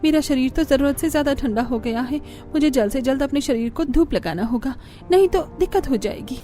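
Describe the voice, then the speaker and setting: A woman plays a young bride in an animated family tale. Her voice is somewhat high and very mellow, as if confiding in herself. Music sits behind her. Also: brisk. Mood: worried. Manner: talks to herself. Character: shy young newlywed bride who feels cold and craves sunshine. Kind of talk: Hindi animated family story acting.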